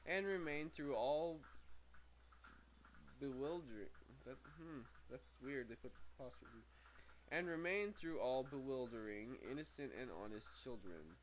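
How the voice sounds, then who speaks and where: A man's voice: medium pitch, lively intonation, measured pace, slight background noise, rough recording. A man reading aloud from a book as an amateur narrator, stumbling over words, correcting himself and dropping in casual asides. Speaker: amateur audiobook reader.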